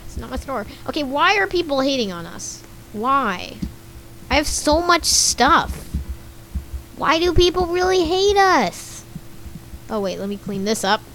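A woman's voice: high-pitched, a bit bright. A young woman with a slightly scratchy voice giving casual, giggly commentary over gameplay and reacting to what she reads on screen. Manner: chatty, giggly, reads game chat aloud and reacts. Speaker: gaming YouTuber.

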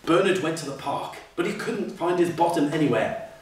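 A man's voice: on the high side, brisk. An older man reading a children's storybook aloud theatrically, with exclamations and playful asides. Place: indoor curtained stage. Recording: echoey hall, distant mic, faint noise.

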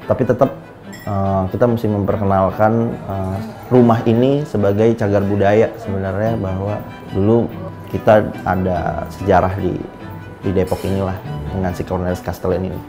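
A man's voice: on the low side, little pitch movement, normal speed, music in the background. A young man, a coffee shop owner, talking casually and animatedly indoors at his café. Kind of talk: casual interview. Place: indoor cafe.

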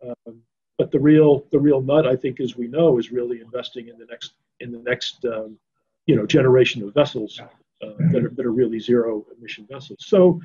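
Older guy in an online business panel, soft mellow tone, slightly husky, kinda halting, dull call audio. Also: medium pitch. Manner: measured, halting, repeats words while thinking. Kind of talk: unscripted conference discussion. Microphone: video call.

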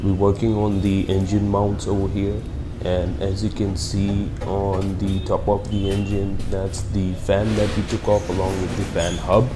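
A man from India talks slowly and steadily through his car project. His voice sits on the low side, and he explains things plainly with the odd filler. Parts of it sound like a phone recording in a garage workshop. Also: monotone, background noise. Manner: slow, matter-of-fact walkthrough with fillers. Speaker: car build vlogger. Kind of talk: casual explanatory voice-over and on-site commentary.

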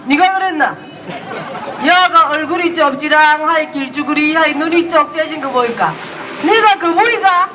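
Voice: high-pitched, normal speed. Someone playing a brash old Gyeongsang grandma. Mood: brash teasing. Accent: Gyeongsang dialect. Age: older. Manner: loud, rough-spoken, teasing the crowd. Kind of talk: comic character act at a rally. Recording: distant PA sound with loud crowd and noise.

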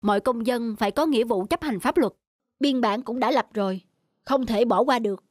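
A woman's voice: a little high, normal speed, a little mellow, no room sound, studio quality, natural voice. A woman voicing a dutiful young male cartoon policeman, formal and firm. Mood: stern, official. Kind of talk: cartoon dubbing. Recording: music under the dialogue.

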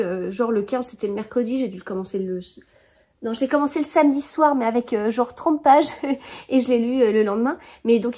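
A woman's voice: fairly high pitch, dark tone. A woman in her thirties hosting a book podcast, chatting casually and rambling with many fillers and restarts, recorded at home in front of a bookshelf. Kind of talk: casual chat about books. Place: living room in front of a bookshelf.

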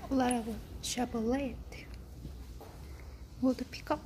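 A woman talks slowly through a casual walkthrough in a high voice. She drops short, simple exclamations as she goes, recorded on a handheld phone indoors with a faint hiss underneath. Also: fairly even intonation, dark tone. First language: Korean. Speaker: travel vlogger. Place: vehicle museum indoors.

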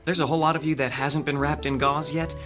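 A man's voice: fairly high pitch, fairly even intonation, fast, music in the background, natural voice. A man acting a wry, skeptical theatre techie with a dry, teasing manner in an audio drama.

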